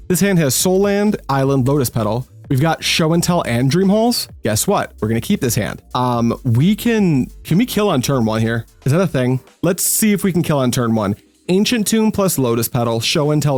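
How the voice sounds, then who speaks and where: Young male streamer, mid-range voice, casual and self-mocking, quick pitch jumps when excited, close mic, faint music underneath. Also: dry recording. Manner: energetic, self-deprecating, swings to high excited yelps. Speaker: gaming channel host. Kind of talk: casual gameplay commentary.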